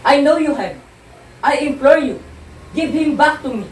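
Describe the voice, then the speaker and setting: A woman's voice: sits high, animated, normal speed. A woman playing a distraught, jealous young wife in an amateur stage play, pleading and accusing with emphatic delivery. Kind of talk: amateur one-act stage play dialogue. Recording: roomy echo, distant mic, faint noise.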